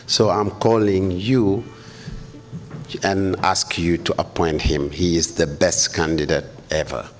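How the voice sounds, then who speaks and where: A man with a Senegalese accent gives informal testimony at a public hearing, speaking haltingly and correcting himself. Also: sits low, fairly even intonation, music in the background. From Senegal, West Africa. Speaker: school principal giving testimony. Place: public hearing in a university hall. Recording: faint background noise, hall ambience.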